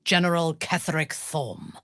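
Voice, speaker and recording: A ruthless female dark-elf warrior for a fantasy video game. Her voice is low and breathy, swinging widely in pitch as she gives formal, imperious speeches in a dry, close recording. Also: sing-song, dry recording, full character voice. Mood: stern, commanding. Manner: imperious, measured, menacing, formal diction. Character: ruthless, commanding female dark-elf warrior priestess, coldly devoted lover. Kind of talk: video game character dialogue.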